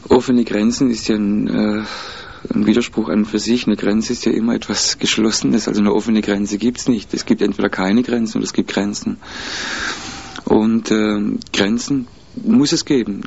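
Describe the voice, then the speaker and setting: Gravelly, husky man speaking slowly and carefully. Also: on the low side, steady tone, a little mellow. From mixed German, Spanish, Italian and Peruvian roots. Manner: measured, hesitant, many fillers. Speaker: interviewee. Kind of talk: thoughtful interview answers. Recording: dull lo-fi recording.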